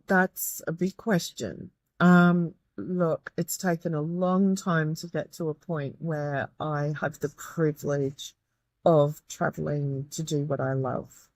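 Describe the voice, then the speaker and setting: Croaky low-voiced woman. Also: steady tone, slow, no echo. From Australia. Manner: earnest, grateful, with many restarts. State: croaky, tired voice. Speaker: guest, medium and tutor. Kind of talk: reflective interview talk.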